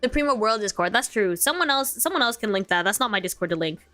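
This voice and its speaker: A woman's voice: mid-range voice, animated, fast, pushed and bright, faint music behind. A young American woman streaming, chatting casually and sleepily, rambling with many fillers and restarts. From United States.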